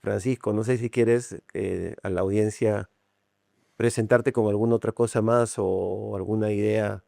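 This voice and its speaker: A man's voice: fairly low pitch, fairly even intonation, a little slow, vocal fry, warm tone, no room sound, studio quality. A man hosting an interview channel, introducing his guest and asking questions with frequent short acknowledgments. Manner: friendly, lots of short acknowledgments. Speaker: interview host. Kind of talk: interview conversation.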